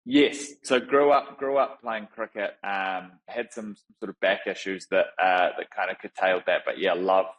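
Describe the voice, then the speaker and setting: A man from New Zealand with a clear New Zealand accent speaks in a fairly low voice. He's a middle-aged founder answering podcast questions, unhurried and reflective, often circling back and restarting as he thinks aloud. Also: fairly even intonation, a bit bright, poor audio. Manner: thoughtful, many fillers and restarts. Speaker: founder and CEO, guest. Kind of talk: conversational interview.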